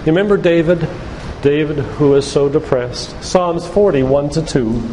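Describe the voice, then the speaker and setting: Older guy doing an earnest church talk, kinda higher voice, big echo and some hiss or noise. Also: normal speed, mellow. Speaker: physician and lay preacher giving a church talk. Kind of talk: sermon-like lecture with read-out quotations. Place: church hall, live talk to congregation. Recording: reverberant church hall, background noise.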